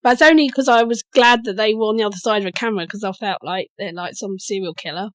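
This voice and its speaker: A woman's voice: medium pitch, fast talker, no room sound. A woman judging a comedy game on a podcast, blunt and dry in her verdicts. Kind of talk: casual podcast banter.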